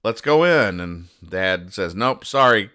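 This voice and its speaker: Guy reading comics on a podcast, deadpan, bright clear voice, kinda low. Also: takes it slowly. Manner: deadpan, over-literal explaining. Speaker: podcast host. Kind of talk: reading and explaining comic strips.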